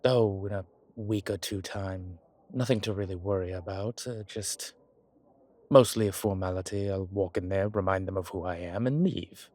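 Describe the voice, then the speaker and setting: A man playing an ancient vampire commander in an intimate audio roleplay, speaking with formal, old-fashioned diction and a measured, haughty manner. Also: deep voice, darkish tone, natural voice. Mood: dismissive, proud. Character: ancient male vampire commander, haughty yet tender to his companion. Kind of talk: intimate audio roleplay acting, one side of a conversation.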